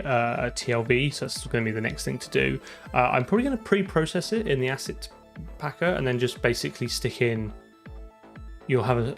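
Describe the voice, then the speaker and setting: A man chatting casually on a live coding stream, thinking aloud in an informal way. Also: mid-range voice. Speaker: streamer and game programmer.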